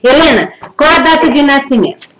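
A middle-aged woman with a Brazilian accent hosts a live call-in show and keeps it lively with exclamations. Her voice sits high and moves a lot. It is recorded on a webcam in a small room with a faint hiss behind it. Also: a little fast. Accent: Brazilian Portuguese. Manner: bubbly, laughs often, exclaims. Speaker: web TV host taking call-ins. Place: small indoor room, seated at desk.

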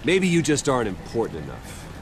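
An actor playing a stern, brooding, defiant hero in a video game, terse and confrontational. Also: male voice, mid-range voice, wildly swooping pitch, a little fast, noisy background. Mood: stern defiance. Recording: loud music under the voice.

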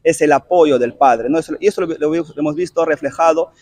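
Peruvian guy, youth soccer coach, explains things calmly, tags "¿no?" a lot, dry close mic. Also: a little high, no echo. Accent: Peruvian Spanish. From Peru. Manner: measured, explanatory, frequent tag questions. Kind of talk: interview answers. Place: outdoor artificial-turf football field. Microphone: handheld reporter mic held close.

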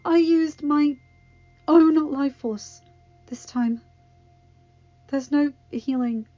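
A woman's voice: high voice, extremely slow, mellow, muffled recording, pitched above the performer's own voice. A woman voicing a dying young enchantress, proud and dry-witted, speaking breathless and strained. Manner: breathless, strained, dryly sardonic.

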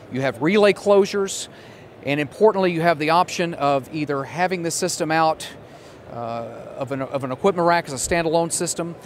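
Man, fifties, American accent, slightly high voice, sales product demo, noticeable trade show hall noise behind. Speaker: regional sales manager presenting a product. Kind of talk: product demonstration. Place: large indoor trade show hall, at a booth. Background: busy exhibition hall ambience.

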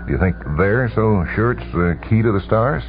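A man voicing a cool, sour male mercenary gambler with a flat, sardonic, halting delivery in a radio story. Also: lowish voice, voice pushed up, natural voice. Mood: sardonic, probing. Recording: tinny vintage radio broadcast, music behind.

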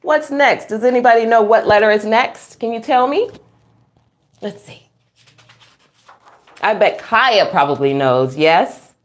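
A woman's voice: a little high, expressive, unhurried, long pauses. A middle-aged woman hosting a storytime, reading aloud to young children with exclamations, sing-song emphasis and questions to her listeners. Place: small room at home, indoors.

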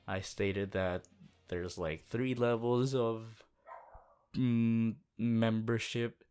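A man making a casual channel announcement in an informal, halting way, as an audio creator. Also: medium pitch, darkish tone.

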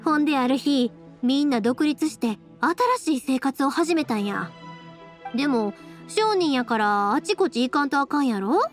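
A cheerful young anime game heroine with a high voice and a Kansai accent. She talks in a breezy, folksy, warm-hearted way, with soft music playing behind her. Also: lively intonation, normal speed. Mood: storytelling.

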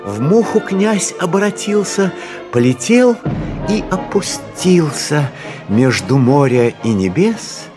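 A man's voice: fairly high pitch, sing-song, takes it slowly, over music. A man reciting fairy-tale verse as a storyteller, with theatrical, singsong declamation.

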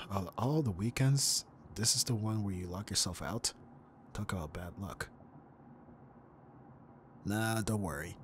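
A man's voice: lowish voice, a little hiss or hum, close to an everyday voice. A young man acting as an easygoing, teasing best friend in a casual, intimate ASMR roleplay.

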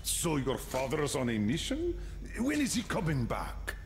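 A man playing a gruff, commanding middle-aged colonel of a special task force in a video game. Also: a little high, huge pitch swings, unhurried. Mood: curious, sober. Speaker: military commander. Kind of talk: video game character acting. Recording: echoey game scene with loud noise.

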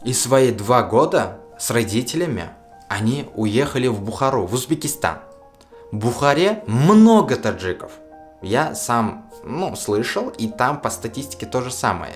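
A man's voice: mid-range voice, animated, normal speed, soft background music. A young man talking earnestly to camera from a small room, addressing his viewers directly. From Russia. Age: young adult. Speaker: video blogger. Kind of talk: casual to-camera commentary.

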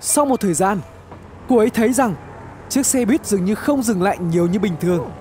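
A man narrating a scripted horror story in a suspenseful, expressive, dramatic way. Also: high-pitched, brisk, slight background noise. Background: background music.

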